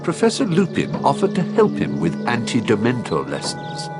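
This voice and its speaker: An actor as a storybook narrator in a wizard adventure game, reading scripted narration expressively. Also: male voice, mid-range voice, huge pitch swings, normal speed. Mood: dramatic storytelling. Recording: loud music under narration.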